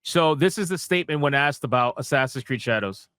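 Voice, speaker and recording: A man's voice: mid-range voice, expressive, a little fast, a bit bright, smooth, dry recording. A man giving casual, sweary, slangy commentary as a livestream host, emphatic and mocking, repeating phrases for effect. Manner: sarcastic, mocking. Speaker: host of a gaming news livestream.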